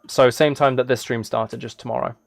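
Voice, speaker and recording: A man's voice: mid-range voice, fairly even intonation, fairly quick, gravelly, no room sound. A man chatting casually on a livestream as he plays a game, rambling and thinking aloud. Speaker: live streamer. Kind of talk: casual livestream chat while gaming, reading chat.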